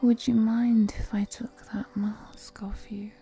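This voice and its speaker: Woman acting a slow, whispering, seductive ancient vampire lady. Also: fairly high pitch, flat delivery, mellow, soft background music. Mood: tender, seductive. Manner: breathy whispering, slow, teasing, formal courtly phrasing. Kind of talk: intimate roleplay audio acting, mostly whispered.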